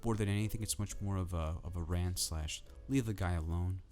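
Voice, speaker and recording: A man ranting casually to camera about football in a soft, calm, drowsy way. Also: sits low, a little fast, faint music behind. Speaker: football vlogger.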